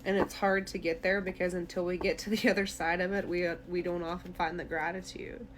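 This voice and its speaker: A woman's voice: on the low side, level tone, a little fast, a little hiss or hum. A woman tarot reader speaking directly to the listener in a conversational, reassuring, therapy-minded way during an intuitive reading.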